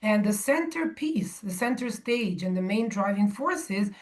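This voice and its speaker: A woman in her forties, originally from Serbia, speaking to a live audience in a measured way with frequent pauses and restarts. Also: medium pitch, lively intonation. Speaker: guest speaker.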